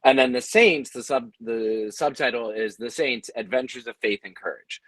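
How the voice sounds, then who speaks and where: A man with a mid-pitched voice talks as a creator on a discussion panel. He sounds thoughtful and halting, repeating words as he works out his point. The sound is dry, with very little room. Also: a bit bright, dry recording. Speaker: audio drama creator, panelist.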